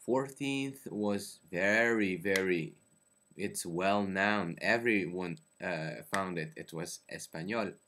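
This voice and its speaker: A man's voice: sits low, slow, a bit bright, slight background noise. A young man in his twenties, a native Teochew speaker, talks to his webcam from a small bedroom, hesitant, with many fillers and self-corrections. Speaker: vlogger. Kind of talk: casual talk to camera. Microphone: webcam at close range.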